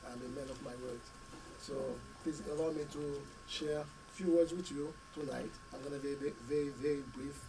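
A middle-aged man, hoarse from a cold, giving an earnest speech at a community meeting in short, paused phrases. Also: on the high side. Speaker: school committee member. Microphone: podium mic, camera at a distance. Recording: distant mic with faint room noise.

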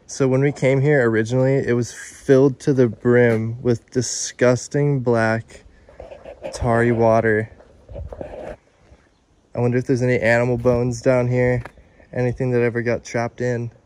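A young man in his twenties vlogging by phone at arm's length outdoors, talking in an excited, chatty way full of "dude" and "like". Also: medium pitch, measured pace. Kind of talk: casual vlog narration. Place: outdoors at an abandoned waterpark. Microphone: phone held at arm's length.

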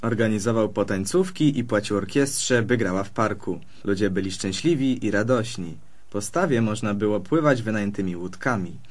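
Friendly fox for a children's radio play, male actor: lowish voice, polite, measured storyteller. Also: natural voice. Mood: storytelling. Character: friendly, peaceable male fox recounting local history.

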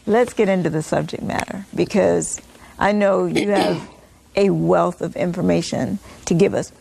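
A woman's voice: lowish voice, steady tone, unhurried, creaky, a little mellow, husky. A middle-aged woman hosting a conversational religious TV interview, putting questions to her guest. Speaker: TV interview host. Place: indoor TV studio set, seated.

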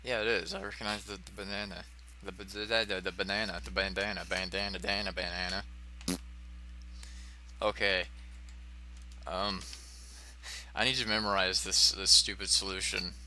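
A man's voice: sits low, little pitch movement, normal speed, pauses often, pushed and bright, slight background noise. A man giving casual, sweary and self-deprecating commentary as he plays a video game. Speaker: let's player.

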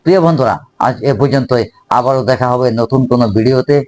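A man's voice: mid-range voice, fast, a little mellow. A man from Bangladesh with a Bangladeshi accent, giving opinionated commentary as a video host.

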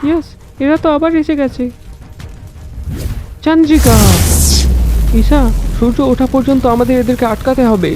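Woman as a high-voiced, anxious young ghost hunter. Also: normal speed, pauses often, natural voice. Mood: alarmed, urgent. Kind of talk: cartoon dialogue. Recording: music behind the voice.

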